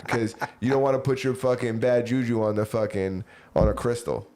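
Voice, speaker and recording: A man's voice: medium pitch, moderate pace, mellow. Profane rambling podcast guy. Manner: loose and profane, rambling with fillers. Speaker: podcast co-host. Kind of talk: casual podcast banter.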